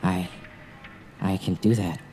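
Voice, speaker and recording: A man acting as a polite, cagey Egyptian hotel keeper in an audio drama, speaking in measured, ingratiating short phrases. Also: deep voice, steady tone, dark tone, soft background music. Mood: courteous, guarded. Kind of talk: radio-play acting.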